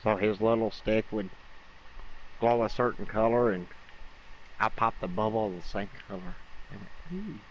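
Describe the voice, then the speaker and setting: A man telling an improvised comic bedtime story in a casual, halting way, often repeating and rephrasing himself. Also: on the low side, little pitch movement. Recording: dull lo-fi audio with faint noise.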